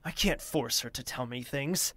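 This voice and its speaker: A woman playing a conflicted, earnest, loyal friend in an audio drama, with a husky voice. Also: rumbling low, moderate pace, a bit bright, full character voice. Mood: defensive.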